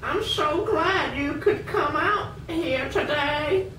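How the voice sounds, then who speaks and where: A woman's voice: high voice, slow, fairly bright tone. A woman playing a kindly older mother at a protest, in an amateur puppet show. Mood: friendly, reflective. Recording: echoey room, distant mic, faint noise.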